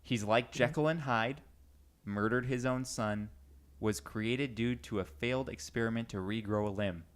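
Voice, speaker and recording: A man hosting a podcast in a casual group chat and running a trivia game, informal and quick to laugh. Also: mid-range voice, clear, resonant tone. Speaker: podcast host and quizmaster.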